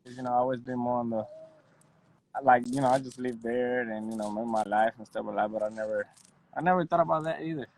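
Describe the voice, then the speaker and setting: A man in his thirties from Puerto Rico, a guest audio engineer chatting in a relaxed, modest way with soft laughs and lots of "you know". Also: medium pitch, quick pace, rough recording. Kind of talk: casual interview chat.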